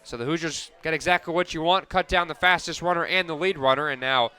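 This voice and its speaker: A man calling softball play-by-play for radio, narrating each pitch and play in crisp descriptive detail. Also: fast. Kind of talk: live softball radio commentary.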